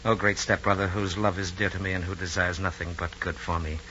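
Spoiled moody roman emperor, florid and bright voiced, old muffled radio play with music. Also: male voice, low-pitched, flat delivery, fairly quick, a little hiss or hum. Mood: grandly false. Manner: theatrical, florid declamation. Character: decadent, moody young Roman emperor, theatrical and self-indulgent. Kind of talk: old-time radio drama acting. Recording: dull old radio recording, music behind.

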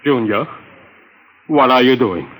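An actor playing a distraught, pleading professor in a melodramatic old-time radio play. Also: male voice, mid-range voice, animated, slow, a bit bright, slightly rough, full character voice, low-quality recording. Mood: agitated. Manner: agitated, pleading, dramatic.

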